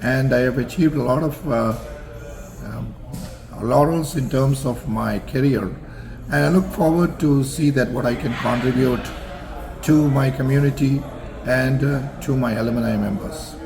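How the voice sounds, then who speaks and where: Older guy from singapore, formal and measured, lots of uh's, plain middle voice. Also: mid-range voice, vocal fry, a crowd in the background, rough recording. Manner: measured, formal, many fillers and restarts. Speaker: interview guest, alumnus. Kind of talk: reminiscing interview answers.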